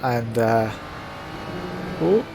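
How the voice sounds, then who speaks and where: A man's voice: mid-range voice, lively intonation, drawn-out words, vocal fry. A man streaming a racing game with casual, joking live commentary over car noise. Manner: joking, self-amused. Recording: noisy, car engine sound.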